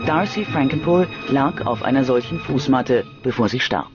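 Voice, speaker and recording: A man reading scripted true-crime documentary narration in a measured, dramatic storytelling manner. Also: medium pitch, expressive, muffled recording. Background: music bed.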